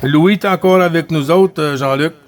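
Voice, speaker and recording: A man with a Quebec French accent chatting casually as a CB radio operator, halting and often repeating his phrases. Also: on the high side, soft background music. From Quebec, Canada. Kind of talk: casual radio chat with greetings.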